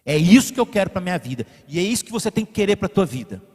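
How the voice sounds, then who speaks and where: Man from Brazil, pastor, sermon, high voice, long church reverb, surges and drops in force. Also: big pitch swings, rapid-fire. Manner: rising to emphatic peaks, then dropping to quiet asides; directs the slide operator mid-sermon. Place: church sanctuary.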